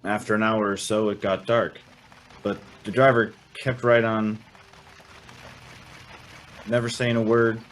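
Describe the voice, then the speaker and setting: A man's voice: on the low side, little pitch movement, measured pace, long pauses, faint music behind, natural voice, rough recording. An actor playing a naive young man in an old-time radio drama, full of wonder and hesitant questions. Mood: hushed narrating. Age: young adult. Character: naive, awestruck young male guest and narrator.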